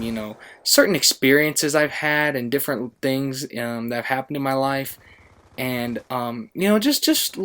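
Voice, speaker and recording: An American man with a mid-pitched voice tells a story casually on his gaming channel. He rambles along, breaking off and picking his thread back up. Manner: rambling, self-interrupting. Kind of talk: casual storytelling over gameplay.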